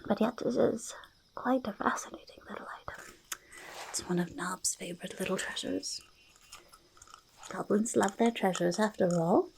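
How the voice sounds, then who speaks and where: A woman voicing a quirky, treasure-loving goblin in a soft ASMR roleplay, slipping between soft speech and breathy whispers, repeating words in a quaint, halting way. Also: medium pitch, natural voice, poor audio. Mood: fascinated, delighted. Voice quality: breathy half-whisper with creaky fry. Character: quirky, treasure-loving, mildly menacing forest goblin, speaking in third person.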